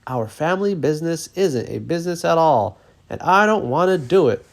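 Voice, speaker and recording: A man's voice: a little high, expressive, normal speed, gravelly, voice pushed up. A man voicing an earnest, childlike young male raccoon in a children's storybook. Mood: defiant.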